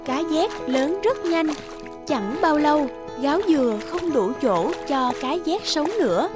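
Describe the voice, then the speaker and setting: A woman's voice: sits high, animated, measured pace, over music. A woman reading scripted narration for a children's fairy tale.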